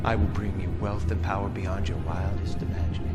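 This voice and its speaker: A man's voice: fairly low pitch, little pitch movement, a little fast, lightly acted. A man playing a scheming, sadistic baron in a video game cutscene, with a theatrical, menacing and gloating manner. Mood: smooth scheming. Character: scheming, sadistic male baron of a villainous noble house. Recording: loud music under the voice.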